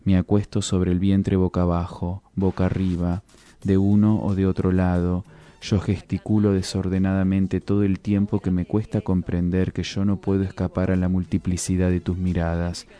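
A man giving a solemn, deliberate dramatic reading of poetic prose, as a guest reader. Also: low-pitched, little pitch movement, warm tone. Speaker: guest reader of literary texts. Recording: music under the voice. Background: music.

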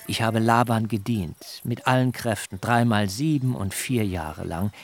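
Solemn, weary shepherd patriarch in radio drama; gravelly, very unhurried delivery. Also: male voice, fairly low pitch. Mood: aggrieved, weary. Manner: measured, solemn, deliberate phrasing. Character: Jakob, weary, solemn patriarch shepherd pleading his case.

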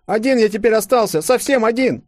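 A scrawny village boy, played by a man whimpers in a high, whiny voice. He sounds close to tears. The sound is dry and close. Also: animated, brisk, no echo. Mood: tearful. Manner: whiny, tearful. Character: skinny young peasant boy, tearful.

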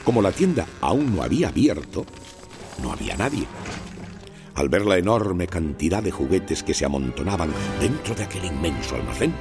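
A man narrating a children's storybook with expressive storytelling emphasis. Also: low-pitched, moderate pace. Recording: music playing under the voice.

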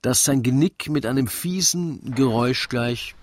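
A man narrating a radio play in rhymed verse, with measured, deliberate storytelling. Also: mid-range voice. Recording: loud music under the voice. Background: loud music.

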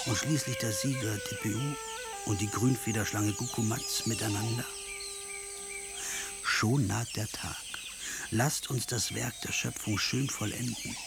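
A man narrating a myth for a radio play in a solemn, scripted storytelling delivery. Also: fairly low pitch. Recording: music behind the voice.

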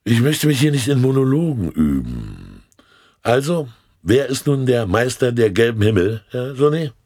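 A man's voice: medium pitch, sing-song, moderate pace, a little mellow, no echo, clean studio sound. An actor playing a sly, condescending state official in a German radio play, suave and mockingly polite as he questions people. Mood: sly, probing.

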